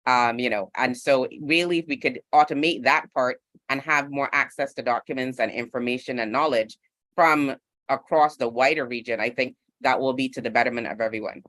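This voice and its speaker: A man talks in an unscripted panel discussion. His voice sits at an average pitch with very little room sound. He is conversational and thoughtful, peppering his points with "you know". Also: fairly quick, pushed and bright, no room sound. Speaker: panel speaker.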